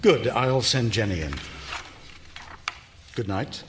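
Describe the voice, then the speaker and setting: Patronizing husband, swinging intonation. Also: male voice, lowish voice, lively intonation, takes it slowly, a little hiss or hum. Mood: gentle, solicitous. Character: paternalistic, controlling husband, measured and condescending. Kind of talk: live stage radio drama acting. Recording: live theater recording with music.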